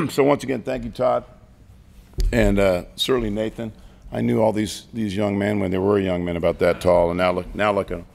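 An older man, a nonprofit head, speaking at a press conference in a folksy, joking way, his voice raspy and hoarse. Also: on the low side, fairly even intonation, normal speed, darkish tone. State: hoarse from yelling the night before. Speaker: nonprofit CEO. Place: large indoor hall. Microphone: podium mic.

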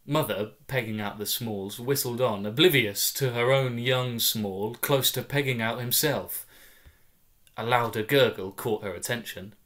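A man in his twenties performing a comic first-person story in a wry, deadpan way with clipped phrasing. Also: mid-range voice, unhurried. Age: 20s. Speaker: story reader. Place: small room at home, indoors.

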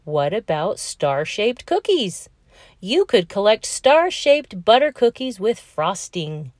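Lady doing practical kid crocodile in a picture book, kinda bossy, skeptical, pitch all over. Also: sing-song, slow, darkish tone, no echo, clean studio sound. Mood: suggesting.

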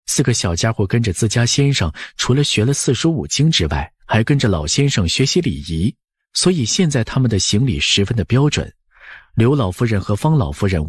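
A man narrating an audiobook as expressive scripted storytelling, with a husky voice. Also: medium pitch, dry recording, studio quality.

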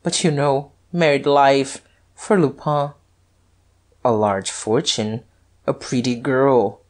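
A sardonic, hot-tempered male detective out of a storybook, played by a woman reader. The voice is low and rough-edged. He taunts and gloats with a vengeful, excitable edge. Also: measured pace, full character voice. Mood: mocking, reflective. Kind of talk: dramatized audiobook reading.

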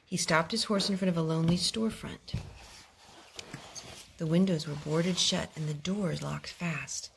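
Creaky-voiced woman reading to children. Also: on the low side, unhurried. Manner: expressive, gentle talk aimed at small children. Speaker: storytime host, reader to young children. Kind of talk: read-aloud picture book storytelling. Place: home living room with wooden floor. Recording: home video with clear background noise.

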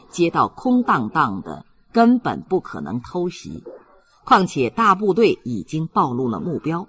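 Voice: mid-range voice, a bit bright, slightly rough. A narrator reading literary fiction aloud in a dramatic storytelling manner. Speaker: audiobook narrator.

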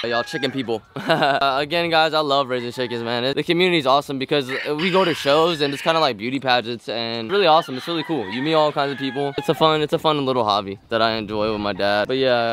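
A man's voice: mid-range voice, noisy background. Laid-back slangy young man vlogging. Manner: laid-back slangy rambling, frequent swearing. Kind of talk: casual vlog chat. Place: home garage, backyard chicken pens and bedroom. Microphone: handheld camera held close.

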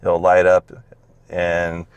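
A man's voice: low-pitched, monotone, takes it slowly, clean tone, dry recording, muffled recording. A man, a car salesman, casually walking through a car's features on a dealer lot and explaining them step by step with plenty of fillers.

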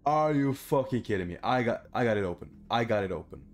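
A man's voice: mid-range voice, lively intonation, quick pace, soft background music. A young man giving casual, sweary gameplay commentary in an informal way. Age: young adult. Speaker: gaming video creator. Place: small bedroom, indoors.

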